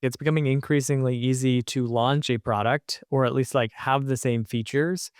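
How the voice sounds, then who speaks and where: A man's voice: medium pitch, steady tone, moderate pace, smooth, no echo, studio quality. A man co-hosting a business podcast in a conversational, plain-spoken style. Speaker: podcast co-host, newsletter writer. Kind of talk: casual business podcast talk.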